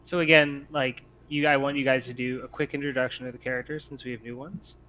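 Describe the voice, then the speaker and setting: A man's voice: medium pitch, steady tone, fast talker, pushed and bright. Encouraging male game master on a muffled mic. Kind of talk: casual online tabletop game session talk. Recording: muffled mic with noticeable background hiss.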